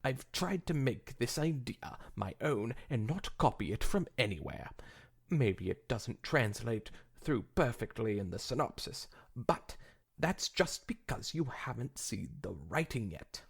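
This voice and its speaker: Casting: man playing a mock-sophisticated pony author in a fan fiction reading, expressive, husky, keen to explain. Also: medium pitch, a little slow, exaggerated voice. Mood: persuasive, hopeful. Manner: affected, mock-sophisticated, expository.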